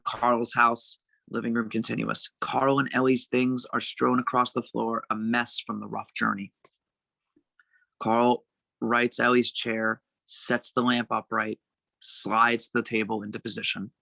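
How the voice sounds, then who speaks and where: A man narrating a screenplay's stage directions at a table read, with a gravelly voice. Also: mid-range voice, sharp tone, no room sound, low-quality recording.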